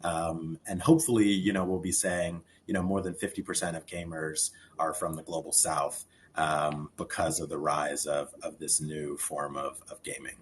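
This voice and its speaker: A man with a very low voice answers on a conference panel, laying out his view in a measured, explanatory way. Faint noise sits behind him. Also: flat delivery.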